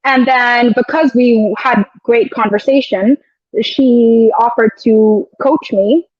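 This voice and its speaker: Woman guest, high voice, dull dry sound. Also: steady tone, takes it slowly, no echo. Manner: reflective, storytelling with quoted asides. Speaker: guest, air traffic control officer. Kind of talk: casual interview chat.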